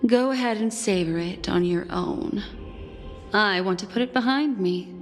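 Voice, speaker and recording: Woman acting a cool, slow-spoken, wary rival. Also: mid-range voice, lightly acted. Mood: dismissive. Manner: measured, dry, accusing, dismissive. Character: cool, wary, sharp-minded woman confronting an old rival. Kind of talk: dramatic video game voice acting. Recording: long reverb, game music behind.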